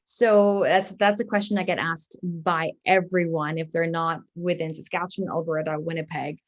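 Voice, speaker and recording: A woman's voice: on the low side, level tone, normal speed. A woman in her thirties from Dubai, speaking in a plain, explanatory way as she answers interview questions. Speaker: interview guest, family business executive.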